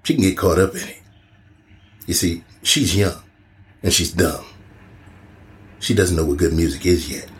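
Deep-voiced stern police chief. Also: male voice, unhurried, pauses often. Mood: dry, menacing. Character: stern small-town police chief, sardonic stepfather. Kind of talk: audio horror drama acting.

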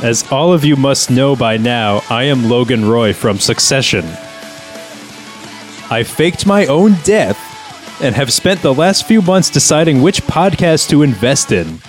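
A man's voice: medium pitch, expressive, unhurried, faint music behind, natural voice. A man reading the part of a pompous tycoon patriarch grandly addressing a room at an amateur screenplay table read. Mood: grand, declarative.

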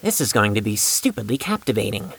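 A woman's voice: extremely low pitch, sing-song, brisk, breathy edge, no room sound, heavily acted. A woman playing a bookish, haughty young know-it-all with pedantic, formal speech in an audio drama. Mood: intrigued.

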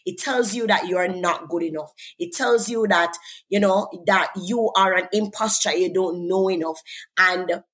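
Jamaican woman, interview guest, husky tone, earnest, preacherly, faith talk. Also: fairly low pitch, moderate pace, fairly bright tone. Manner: earnest, preacherly, asks "right?" often. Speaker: guest, author and faith coach.

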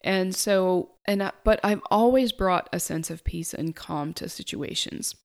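A middle-aged woman with a soft, quiet speaking voice, reflecting on her own life in a halting, thoughtful way. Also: fairly low pitch, creaky. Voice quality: soft-spoken. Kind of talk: personal reflective monologue.

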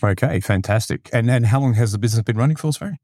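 A man's voice: medium pitch, quick pace, mellow, no room sound, clean studio sound. A man hosting a podcast, asking hesitant questions with fillers. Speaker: podcast host. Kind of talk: interview questions.

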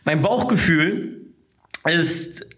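A man's voice: on the high side, animated, extremely slow, a bit bright. A young man hosting his own podcast, talking loosely in slang with many fillers and restarts, still coughing a little after a cold. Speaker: podcast host. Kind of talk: casual solo podcast monologue.

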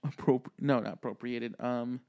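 A man's voice: medium pitch, fairly even intonation, moderate pace, warm tone, clean tone. A man in his twenties with a Midwestern American accent, co-hosting a casual comedy podcast, joking and swearing freely. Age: 20s. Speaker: podcast co-host.

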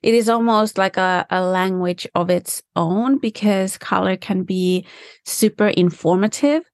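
A woman talks through her answers thoughtfully and with enthusiasm, now and then searching for the right word. Her voice sits at a middle pitch. The recording is dry, with almost no room sound. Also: measured pace, a little mellow, dry recording. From Finland. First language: Finnish. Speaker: guest, design specialist. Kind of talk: conversational interview answers. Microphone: remote call from home.

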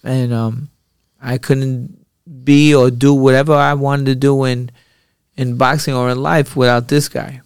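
A man hosting a podcast, telling rambling, profane stories in an animated way. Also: mid-range voice, a little slow, clear, resonant tone, no echo, studio quality. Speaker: podcast host and boxer. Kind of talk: casual podcast chat.

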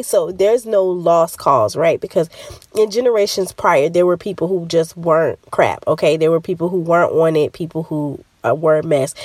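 A woman's voice: fairly low pitch, a little mellow, no echo. A woman with a stuffy nose from a cold, hosting a solo motivational podcast in a chatty, direct way that talks straight to her listeners. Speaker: podcast host.

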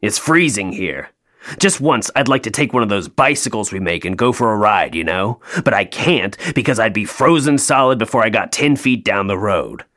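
An actor playing a jaded, sardonic male elf toymaker in an audio play, deadpan, dry and downbeat. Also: lowish voice, moderate pace. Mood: grumbling.

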